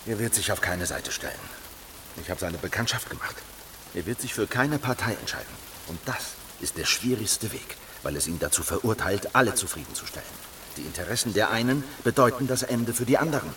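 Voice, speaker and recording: Calm rebel sect leader, low-voiced. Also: male voice, a little fast, slight background noise. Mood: calm, assured. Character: calm, resolute secret leader of a heretical Anabaptist circle. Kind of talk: German radio drama acting.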